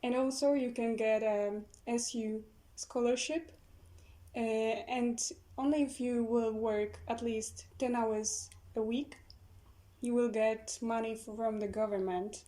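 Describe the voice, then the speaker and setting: A young woman speaking English as an as a podcast guest, giving brief, halting answers. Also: fairly high pitch, steady tone, dark tone. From Poland. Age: young adult. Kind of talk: casual chat.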